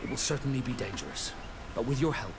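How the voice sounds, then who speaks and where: Earnest sailor, noisy capture. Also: male voice, medium pitch, sing-song, quick pace. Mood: earnest, resolute. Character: earnest adult male sailor, determined loyal friend. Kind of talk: video game dialogue acting.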